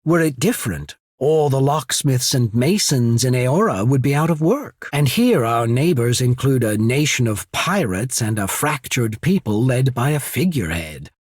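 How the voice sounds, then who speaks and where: Game role: polished trading company grand secretary, husky and melodically varied, measured diplomat with veiled scorn, dry studio sound. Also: male voice, medium pitch, very animated, a little mellow, no echo. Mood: pointed, critical. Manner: measured, diplomatic, subtly disdainful, formal wording. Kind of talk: video game character voice acting.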